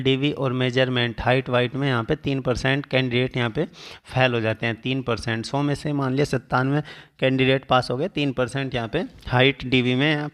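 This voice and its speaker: A man's voice: medium pitch, a bit bright, clear, resonant tone. A man speaking as a coaching teacher, explaining an exam update step by step and listing figures.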